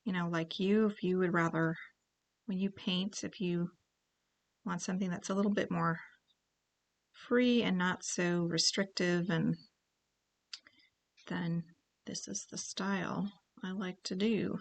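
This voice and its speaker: A woman leading a relaxed paint-along tutorial, chatting and thinking aloud as she paints, and now and then clearing her throat. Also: on the low side, unhurried, pauses often. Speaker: painting instructor.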